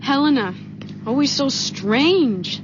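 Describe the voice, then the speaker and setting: An actress playing an anxious, soft-hearted young wife in a radio drama, earnest and worried. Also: female voice, sits high, animated, takes it slowly. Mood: concerned, regretful. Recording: muffled, noisy vintage radio recording.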